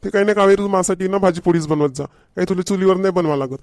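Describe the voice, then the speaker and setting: A man's voice: high-pitched, racing, a little mellow, no room sound, studio quality. A man playing a grumbling, demanding village husband in a comedy cartoon, with a Varhadi Marathi accent and a nagging, repetitive manner. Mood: annoyed, insistent. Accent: Varhadi Marathi from Vidarbha.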